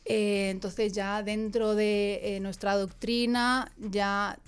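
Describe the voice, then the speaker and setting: A woman's voice: medium pitch, slow, clean tone. A young woman with a Madrid accent, speaking as a guest in a casual discussion, emphatic and full of restarts. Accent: Madrid Spanish. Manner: lively, emphatic, with frequent restarts.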